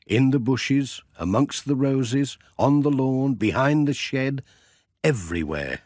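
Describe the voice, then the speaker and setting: A man reads a children's audiobook unhurriedly. His storytelling is highly animated and expressive, recorded dry and close. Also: slow, no echo. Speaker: audiobook narrator.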